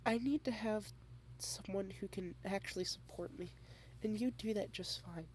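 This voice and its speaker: A woman speaking softly in a close-mic ASMR girlfriend roleplay, shy and rambling, with halting repeated starts and frequent half-whispers. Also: mid-range voice, slight background noise. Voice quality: soft, often half-whispered.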